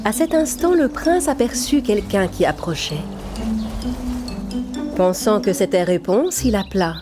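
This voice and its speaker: A woman's voice: medium pitch, lively intonation, a little slow, over music. A woman narrator telling a children's fairy tale in a scripted storytelling style.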